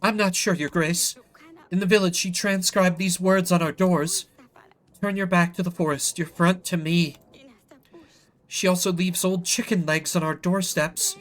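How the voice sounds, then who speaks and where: A man playing a frightened villager in a story game, dramatic and halting as he warns of a witch. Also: high voice, fairly even intonation. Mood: fearful. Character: frightened villager, urgently warning of an escaped witch. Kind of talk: acted narrative game dialogue.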